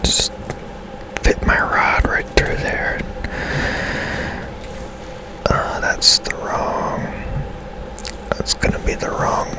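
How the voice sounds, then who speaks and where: Slow, whispering man doing gaming ASMR. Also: rough recording. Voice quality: breathy, unvoiced whisper. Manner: casual, unhurried, halting. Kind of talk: whispered ASMR game commentary.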